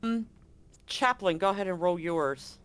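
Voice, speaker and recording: A man's voice: high voice, expressive, unhurried, a bit bright, clean tone. A man acting as game master, narrating and chatting in an easygoing, informal way during a live tabletop game.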